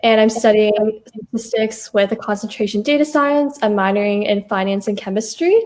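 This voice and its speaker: A woman's voice: mid-range voice, normal speed, a little mellow. A young woman, a university student, introducing herself in an upbeat, plain way on a video call. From Canada. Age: young adult. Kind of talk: casual self-introduction.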